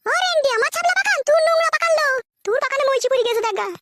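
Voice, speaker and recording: A performer voicing a jealous, foul-mouthed village wife in a comedy cartoon, a pitched-up, sped-up voice and a rapid, quarrelling delivery. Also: expressive, very noisy. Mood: furious, taunting. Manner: ranting, insulting, rapid-fire quarrelling. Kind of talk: comedy cartoon dubbing with altered high-pitched voice.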